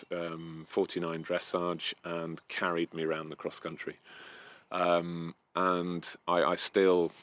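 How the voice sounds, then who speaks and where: A man's voice: low-pitched, fairly bright tone. A man from North Wales answering interview questions outdoors, hesitant, with many ums and restarts. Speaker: event rider interviewee. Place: outdoor terrace by a house.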